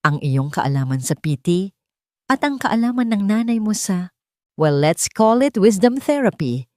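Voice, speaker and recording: A woman's voice: mid-range voice, sing-song, unhurried, darkish tone, dry recording, clean studio sound. A Filipino woman narrator voicing an earnest, persuasive businessman husband and father in an audiobook story. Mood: earnest, inviting.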